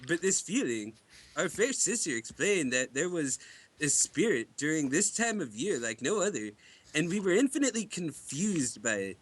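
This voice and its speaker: Man, husky voice, extremely animated intonation, fairly high pitch, dramatic amateur story reading. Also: normal speed, rough recording. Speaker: podcast member reading a fan story aloud.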